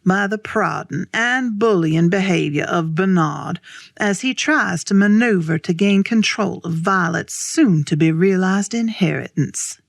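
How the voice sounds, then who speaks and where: A woman reads scripted narration for a drama. Her mid-pitched voice has noticeable fry and is recorded dry and close. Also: unhurried, dry recording.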